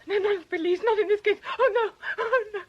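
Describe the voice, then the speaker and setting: An actress with a British accent playing a frightened, desperate wife confessing in a radio drama, faltering and urgently pleading. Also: female voice, extremely high pitch, brisk, muffled recording. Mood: terrified, pleading.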